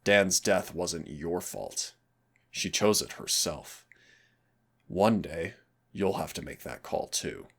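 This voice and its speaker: A man playing a teasing but stern demon hunter in an audio roleplay, speaking deliberately with dramatic pauses in a gravelly, husky voice. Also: low-pitched, expressive, heavily acted. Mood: stern, coaxing. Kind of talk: audio roleplay acting, intimate second-person dialogue.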